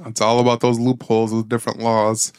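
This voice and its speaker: A man co-hosting a comedy podcast, chatting in a relaxed, wry way and laughing along. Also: medium pitch, a little fast, smooth, no echo. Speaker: podcast co-host. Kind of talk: casual comedic chat.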